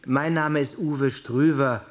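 A businesslike door-to-door salesman in a radio play, acted by a man, speaks at a medium pitch. He is courteous and takes the tone of a mentor. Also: steady tone, takes it slowly, lightly acted. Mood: polite. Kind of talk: German radio drama acting.

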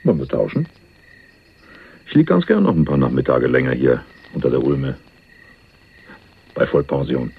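An actor playing a laid-back, sceptical drifter and jailbird in a crime radio play, gravelly and husky, speaking with teasing, sardonic understatement. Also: male voice, very deep voice, sing-song, normal speed, pauses often, heavily acted. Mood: laconic. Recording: muffled phone-like narrowband radio recording.

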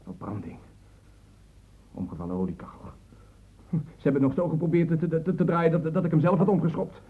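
Cornered young suspect, tinny radio drama. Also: male voice, on the high side, slightly rough. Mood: sullen, touchy. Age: young adult. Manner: halting, protesting, flaring up. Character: defensive, cornered young male suspect, touchy and evasive. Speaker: actor in a radio play. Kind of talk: Dutch radio drama acting. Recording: muffled phone-like old radio recording.

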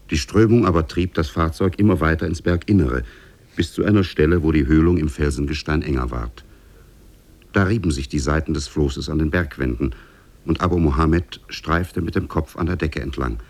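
Male narrator, 1950s radio fairy tale: extremely low, rough-edged voice, measured and solemn scripted storytelling. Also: darkish tone.

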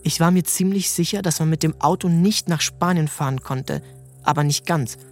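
A man narrating a literary story in a calm, measured way. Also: on the high side, faint music behind. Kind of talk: scripted narration.

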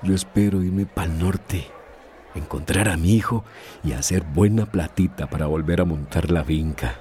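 An actor plays a rueful migrant farmer in a radio drama. The man's voice is very low and mellow, wistful and tired, with murmured hums between thoughts. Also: normal speed, a little hiss or hum, close to an everyday voice.

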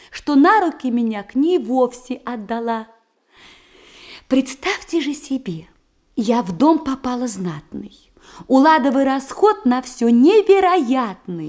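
Virtuous young ward, period verse comedy. High, highly melodic voice, measured declamation, eager to please. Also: female voice, full character voice. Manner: mannered, earnest, measured declamation. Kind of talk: theatrical radio comedy acting in verse.

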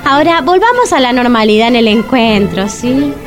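A woman's voice: on the high side, lively intonation, normal speed, soft background music, natural voice. An actress playing a sweet, naive, gushing woman with a sing-song delivery and a Buenos Aires accent. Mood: helpful, eager. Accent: Argentine, Buenos Aires. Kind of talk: comedic audio drama acting.

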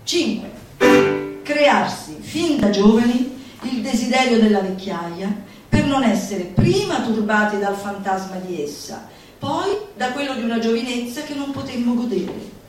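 A woman performer reading a manifesto as theatrical declamation, deliberate and declamatory, with dramatic pauses. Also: on the high side, animated, faint music behind. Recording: roomy, echoing, distant mic, faint noise.

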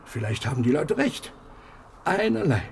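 An actor playing an older husband in a German radio play, fretful and proud, lamenting and rambling in an agitated way. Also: male voice, on the high side, very animated, normal speed, gravelly, a little hiss or hum. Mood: wistful, brooding. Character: fretful, proud old husband guarding his life's collection.

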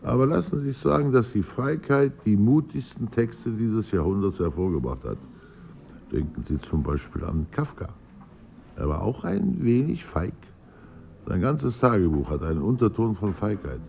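A proud, provocative poet in a radio play. His voice is very low and gravelly, declaimed theatrically, moving between murmur and outburst. He sounds self-absorbed and nostalgic. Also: sing-song, normal speed, warm tone, a little hiss or hum. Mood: impassioned. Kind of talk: literary radio drama dialogue.

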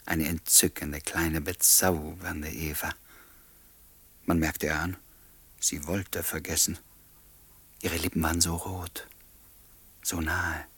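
An actor playing a gentle, secretly infatuated confidant in a German radio play, speaking in a measured, intimate way. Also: male voice, low voice, slightly rough, no room sound, heavily acted.

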